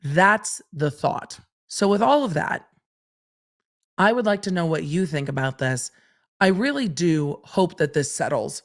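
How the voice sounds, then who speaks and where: A middle-aged woman talks through a legal case on her own podcast, her voice a touch raspy from long days of streaming. She reads out a statute clause by clause, then poses questions to herself and answers them plainly. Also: on the low side, animated, measured pace. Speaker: legal commentator and podcast host. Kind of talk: solo legal podcast commentary.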